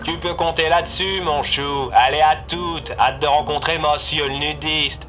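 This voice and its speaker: A man's voice: fairly high pitch, fairly even intonation, moderate pace, faint music behind, pitched above the performer's own voice. A man voicing a cheeky, teasing female police switchboard operator over the radio in an audio drama. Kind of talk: audio fiction character acting. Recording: muffled echoey radio sound.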